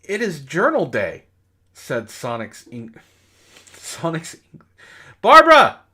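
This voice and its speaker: A man's voice: on the high side, huge pitch swings, slow pace, bright, forceful tone, husky. A man hosting a casual comedic read-aloud, breaking off to react with amused exasperation and swearing. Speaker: host of a comedy book club reading. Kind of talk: casual read-aloud of bad fan fiction with amused commentary.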